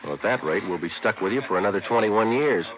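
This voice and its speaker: An American actor playing a deadpan, matter-of-fact police detective in a 1950s radio drama, with clipped delivery. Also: male voice, on the low side, fast, crowd noise, lightly acted. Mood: casual, dry. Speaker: lead actor and narrator. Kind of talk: 1950s radio drama, terse scripted narration. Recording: muffled, noisy old radio recording.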